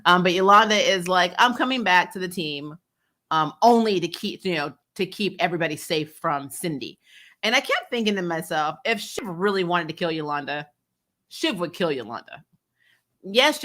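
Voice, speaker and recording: A woman with a fairly low, bright and forceful voice hosts a casual recap. She is blunt and opinionated, retelling scenes and dialogue in chatty fashion, unafraid of mild swearing. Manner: animated retelling, acting out dialogue, prone to chuckling; blunt, opinionated, mildly profane. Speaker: host of a TV recap show. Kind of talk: casual episode recap and commentary.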